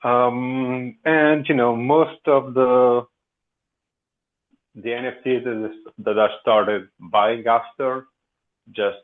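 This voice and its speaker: A man's voice: medium pitch, steady tone, very slow. A man with an accent mixing French and Portuguese, chatting casually as a podcast guest, hesitant with many fillers. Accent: French and Portuguese mix. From France. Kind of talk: casual chat. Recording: tinny phone-like line.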